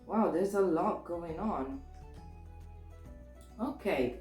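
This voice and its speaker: A woman with a sore throat, a little hoarse, giving a casual tarot reading in a chatty, hesitant way with many restarts. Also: lowish voice, animated, very slow, darkish tone, reverberant, soft background music. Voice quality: slightly hoarse. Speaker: tarot reader.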